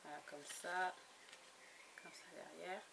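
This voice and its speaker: A woman's voice: on the low side, fairly even intonation, slow, creaky. A woman chatting casually about her latest book haul, giggly and full of fillers and restarts. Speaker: book blogger. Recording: noisy home recording.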